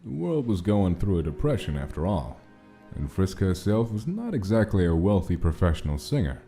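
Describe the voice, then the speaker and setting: A man's voice: deep voice, big pitch swings, moderate pace, dark tone, faint music behind. A man reading scripted narration of a gritty gangster-era story in a comic dub. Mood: brooding, measured.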